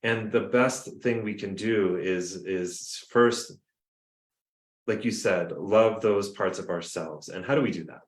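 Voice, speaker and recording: A man's voice: fairly low pitch, slow, roomy sound. A man with a New Jersey accent, talking earnestly as a podcast guest on a video call. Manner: animated, earnest, storytelling. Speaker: guest, coach. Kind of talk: casual podcast conversation.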